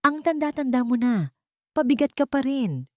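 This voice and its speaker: A scornful young villainess from a melodramatic audio story, acted out by a woman narrator. She is shrill and desperate, her pitch pushed high and swinging widely, in a close, dry recording. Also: big pitch swings, moderate pace, no echo. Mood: cruel contempt. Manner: shrill, shouting protests and threats.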